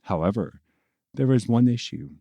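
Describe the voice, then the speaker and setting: A man narrating a scripted story as a dramatic storyteller, with measured, deliberate and theatrical phrasing. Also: mid-range voice, lively intonation, mellow, dry recording.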